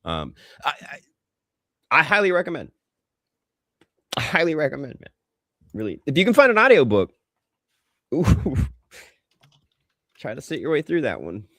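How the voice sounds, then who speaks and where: A man's voice: on the high side, huge pitch swings, unhurried, pauses often, fairly bright tone, no room sound, clean studio sound. A man co-hosting a podcast in casual pop-culture banter, speaking emphatically and with casual swearing. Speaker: podcast co-host.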